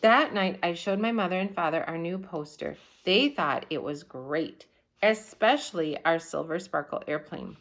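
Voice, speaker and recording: A woman reading a children's story aloud in an animated, expressive storytelling manner. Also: fairly low pitch, moderate pace. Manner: animated, acts out the dialogue. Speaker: storybook reader.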